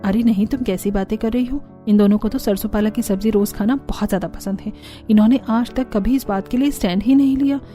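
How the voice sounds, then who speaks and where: A woman's voice: medium pitch, fast, warm tone, faint music behind, lightly acted. A woman voicing a weary but helpful elder sister-in-law in a cartoon story, explaining things emphatically. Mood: weary, grumbling. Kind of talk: Hindi cartoon story character acting.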